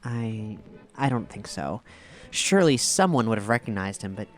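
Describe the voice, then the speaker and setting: A man's voice: fairly low pitch, animated, normal speed, natural voice. Man acting an earnest investigator in horror drama. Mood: shaken, haunted. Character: earnest man investigating strange horrors, shaken but eager.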